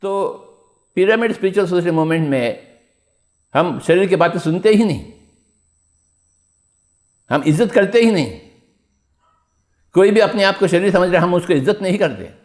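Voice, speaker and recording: A high-voiced man delivers a spiritual discourse as a meditation teacher. He exhorts his listeners, repeating key phrases and putting rhetorical questions to them. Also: lively intonation, moderate pace, pauses often.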